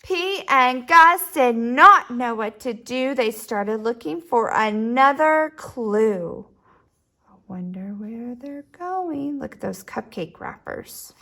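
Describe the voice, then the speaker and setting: A woman's voice: on the high side, animated, slow pace, hardly any pauses, pushed and bright. A woman speaking expressively to young children, as in a read-aloud or simple lesson. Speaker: storyteller and drawing teacher for children.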